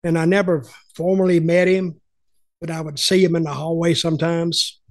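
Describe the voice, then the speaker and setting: A man's voice: on the high side, level tone, moderate pace, dry recording, clean studio sound. An older man, telling folksy, opinionated stories in casual chat over a video call from home. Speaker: podcast host and storyteller.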